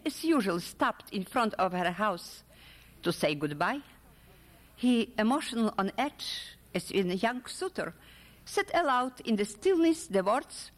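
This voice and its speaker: A woman reading literary prose aloud with a dramatic, measured storytelling delivery. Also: medium pitch. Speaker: author or reader at a literary event. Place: large hall at a public literary event. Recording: echoey hall with long reverb.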